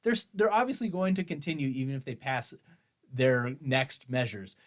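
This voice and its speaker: A man's voice: medium pitch, normal speed. A man hosting an opinion show, reading aloud and reacting in an argumentative, mocking way. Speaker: host of a commentary show on guns.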